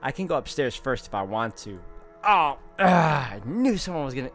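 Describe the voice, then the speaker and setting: A man hosts a visual novel playthrough with game music behind him. His voice sits at a middling pitch. He reads the text aloud and drops in casual comments, thinking out loud. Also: sing-song, moderate pace. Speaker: let's play host.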